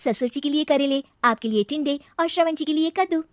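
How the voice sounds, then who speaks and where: Woman as a very high-pitched, exasperated young bride. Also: fairly quick, dry recording, clean studio sound, lightly acted. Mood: sly retort. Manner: emphatic, incredulous questioning. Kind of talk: animated cartoon dialogue.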